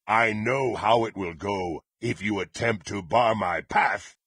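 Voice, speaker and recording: A ruthless half-orc blackguard for a fantasy video game. His voice is gruff and husky, recorded dry and close. He speaks slowly and imperiously, like someone used to making threats and hungry for power. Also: lowish voice, fairly bright tone, no room sound. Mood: angry, demanding. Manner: menacing, imperious, theatrical declamation.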